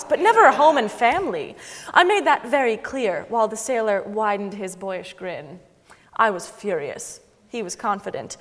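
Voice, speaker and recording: A young woman giving a deliberate, expressive dramatic reading of a memoir on a concert hall stage. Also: fairly high pitch. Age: young adult. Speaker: dramatic reader. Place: large wood-panelled concert hall stage, seated.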